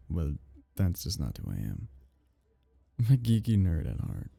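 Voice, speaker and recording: A man acting a geeky, awkward male kitsune on a blind date in an ASMR roleplay, halting, stammering and apologetic. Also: sits low, huge pitch swings, gravelly, warm tone, breathy edge, no echo, heavily acted. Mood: apologetic, dejected.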